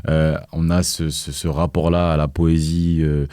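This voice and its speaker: Very deep young man, hesitant. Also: level tone, measured pace, warm tone, smooth, no room sound, studio quality. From France. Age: young adult. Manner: hesitant, many fillers and restarts. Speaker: guest, activist. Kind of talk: casual interview conversation.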